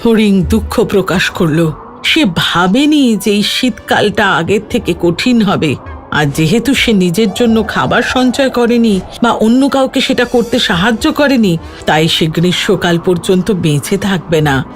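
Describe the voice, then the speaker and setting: A woman reading a scripted children's story as narrator, with an expressive storytelling delivery. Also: mid-range voice, measured pace, soft background music.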